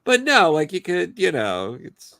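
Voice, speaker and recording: A man chatting casually as a guest, starting and restarting his sentences in a halting way. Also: high-pitched, huge pitch swings, gravelly, sharp tone, dry recording. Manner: halting, repeats words as he starts. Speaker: guest on a fan live stream. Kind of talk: casual chat.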